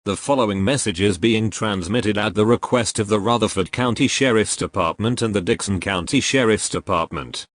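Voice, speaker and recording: Low, dry synthetic male alert announcer. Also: dry recording. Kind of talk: scripted emergency alert bulletin.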